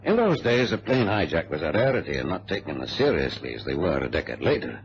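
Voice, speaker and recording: A man playing a passenger who gravely narrates a radio drama, heard on a muffled old recording. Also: deep voice, sing-song, quick pace, a little hiss or hum, exaggerated voice. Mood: grave recounting. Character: male passenger gravely recounting a hijacked flight.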